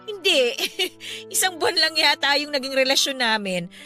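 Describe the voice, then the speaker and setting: A frank, breezy young woman in a radio drama. Her voice is high and bright and moves around a lot in pitch. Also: lively intonation, normal speed, faint music behind. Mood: casual, candid.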